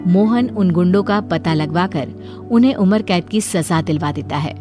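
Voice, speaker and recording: A woman's voice: lowish voice, normal speed. A woman reading scripted story narration as the narrator. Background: music.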